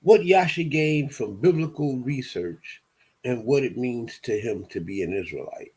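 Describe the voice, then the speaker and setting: A man in his fifties from Detroit talks through his own story as host of his show. His delivery is unhurried and mellow, and he often stops, restarts and repeats words before he finds his point. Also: medium pitch, animated. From Detroit, USA. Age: 50s. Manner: slow, halting, with many restarts and repeated words. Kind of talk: personal monologue.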